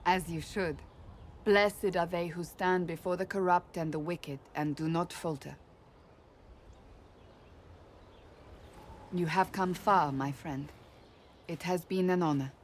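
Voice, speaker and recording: Fantasy game role: actress as steadfast female warrior advisor, low-leaning voice, grave and formal, faint noise behind. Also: steady tone, unhurried, close to an everyday voice. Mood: solemn, warm. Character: resolute, devout female warrior and loyal advisor. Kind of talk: dramatic fantasy video game acting.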